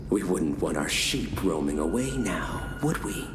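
An actor playing a deranged ink-bodied cult prophet in a video game, fawning, menacing and theatrical, with a breathy, half-whispered voice. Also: male voice, deep voice, sing-song, unhurried. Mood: creepy, hushed reverence. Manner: theatrical, hushed sing-song breaking into frantic cries. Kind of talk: video game villain monologue. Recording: echoey room with music behind.

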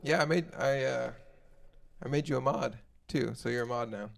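A young man, hoarse after being sick, chatting quickly and casually with his stream viewers from a small home music room into a mic on a stand. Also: no room sound. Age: young adult. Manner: quick, low-key chatter between songs. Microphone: studio mic on a stand. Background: acoustic guitar.

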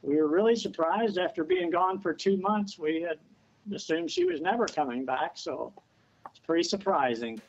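A man's voice: high voice, very animated, muffled recording. Plain-spoken man on a video call. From Missouri. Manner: plain, unhurried, pauses with "uh". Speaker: dog owner, interview guest. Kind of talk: casual remote interview. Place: outdoors on a house porch.

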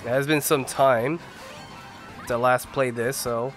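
A young man with a congested voice from the flu, giving casual, excitable commentary as he plays a racing game at home on a desk mic. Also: medium pitch, a little slow, soft background music. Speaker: gaming YouTuber. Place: home gaming room. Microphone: desk mic, face camera.

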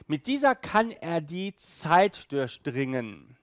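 A man's voice: a little high, big pitch swings, takes it slowly, no echo, studio quality. A man in his thirties hosting a casual video review, talking in a chatty, colloquial way. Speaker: host of an audio drama review show. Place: small room with sofa and shelves, indoors.

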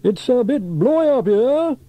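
A brave, cheerful fireman hero, as a male storyteller plays him for children. His voice is lively and swooping with a slightly rough grain, always reassuring and upbeat. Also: mid-range voice, fairly quick, no echo, lightly acted.

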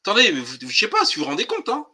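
A man's voice: high-pitched, wildly swooping pitch, rapid-fire, crowd noise. A man hosting a political live stream, talking colloquially and insistently, with emphatic rhetorical questions. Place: online group call.

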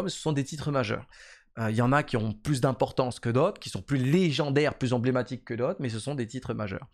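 A man in his thirties giving casual esports commentary to camera from a home streaming room, talking quickly with many fillers and repeated phrases. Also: mid-range voice. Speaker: esports YouTuber. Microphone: desk mic on boom arm.

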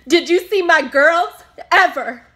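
A woman's voice: on the high side, fairly even intonation, a little slow, creaky, a bit bright. A woman performing a dramatic monologue as a grieving, defensive mother, speaking in emphatic, pointed phrases. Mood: angry, anguished. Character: grieving, defensive mother questioned about her sanity.

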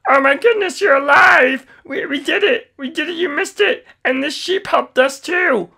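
A woman playing an excitable, naive, childish sidekick in a cartoon roleplay, exclaiming and repeating phrases eagerly. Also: high-pitched, normal speed, creaky, full character voice. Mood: excited.

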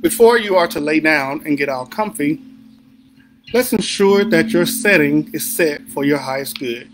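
A man guiding a sleep meditation in a soothing, deliberate manner with unhurried pauses. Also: on the high side, expressive, low-quality recording. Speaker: meditation guide. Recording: background music under the voice. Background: music.

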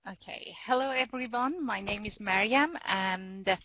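Gravelly-voiced woman with an Iranian accent. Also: mid-range voice, fairly bright tone. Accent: Iranian, Turkish-speaking northwest. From northwest Iran. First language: Azerbaijani Turkish. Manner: careful, halting, many fillers. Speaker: conference presenter. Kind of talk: webinar presentation. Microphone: computer mic in a web meeting.

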